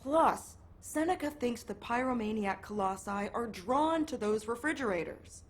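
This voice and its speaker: An actress playing a sensible, caring woman who explains and gently scolds in a video game. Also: fairly high pitch, animated, moderate pace, natural voice. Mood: worried, wry.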